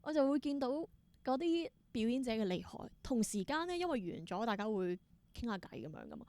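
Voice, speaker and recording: A woman with a Hong Kong Cantonese accent, telling stories casually as an interview guest, expressive and mixing in English words. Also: a little high, a little fast. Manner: expressive, exclaims in wonder, mixes in English words. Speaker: interview guest, theatre performer.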